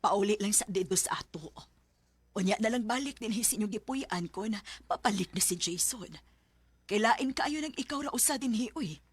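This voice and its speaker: A woman playing a worried, protective middle-aged mother in a Bisaya radio drama, with a husky voice and a Bisaya accent. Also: mid-range voice, animated, moderate pace, no echo.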